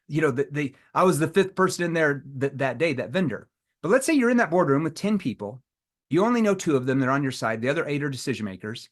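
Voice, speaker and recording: A middle-aged man leading a live sales training seminar, acting out prospect dialogue with pauses and rising tones. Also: fairly high pitch.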